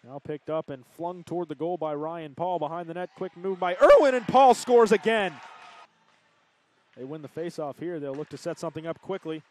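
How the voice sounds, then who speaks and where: Guy calling a hockey game, higher voice, quick calls, gets loud on goals. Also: a little hiss or hum. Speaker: play-by-play commentator. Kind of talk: hockey play-by-play commentary.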